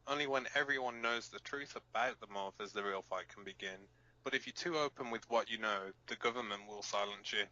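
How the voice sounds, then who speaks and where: A man's voice: lowish voice, a little fast, sharp tone, highs cut off. A man playing a blunt, cynical resistance fighter who co-hosts an underground broadcast in an audio drama. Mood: serious. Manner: blunt, sardonic. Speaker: radio show co-host.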